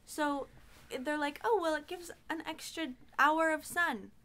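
Casting: young woman, high-pitched bright voice, informal podcast talker, slight background noise. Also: a little slow. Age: young adult. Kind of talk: casual podcast chat.

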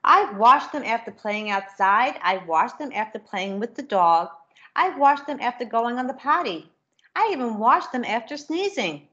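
A woman's voice: on the high side, lively intonation, normal speed, pushed and bright, voice pushed up. A woman voicing a stubborn, questioning little princess from a storybook, recorded on a dull webcam mic. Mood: protesting, curious. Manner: protesting, questioning.

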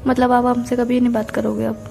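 A young woman talks on a recorded, emotional phone call. Her voice is fairly high and mellow, with a plaintive manner and little movement in pitch. Music plays behind her. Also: level tone, fairly quick, creaky. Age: young adult. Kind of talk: emotional private phone conversation.

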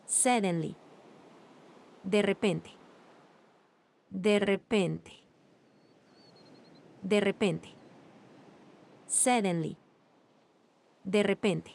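A woman reading Spanish and English phrases slowly, one at a time, for language learners. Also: medium pitch, creaky, a little mellow. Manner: reads each phrase slowly with long pauses. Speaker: language teacher. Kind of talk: slow repeated phrase drills.

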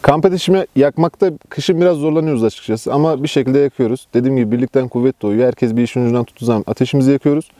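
Fast-talking mellow young man. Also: mid-range voice, no room sound. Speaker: camping group founder and organizer. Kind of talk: casual interview to camera. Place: outdoors at a campsite.

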